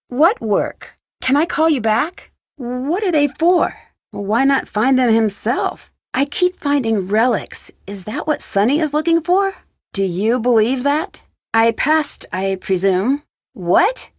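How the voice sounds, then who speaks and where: An inquisitive young female detective from a video game, with a fairly high voice and very lively intonation. She puts her questions and replies crisply, with a dry wit, in a close, dry recording. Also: no echo, exaggerated voice. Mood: curious. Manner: inquisitive, crisp, lightly wry. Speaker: video game lead character.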